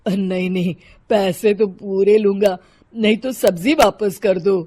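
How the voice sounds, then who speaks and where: A humble street vegetable man, voiced by a woman, husky and deferential. He pleads his poverty meekly, in a cartoon-story style. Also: mid-range voice, fairly even intonation, a little slow, dark tone, close to an everyday voice. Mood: humble, pleading. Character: humble, poor male vegetable seller, pleading.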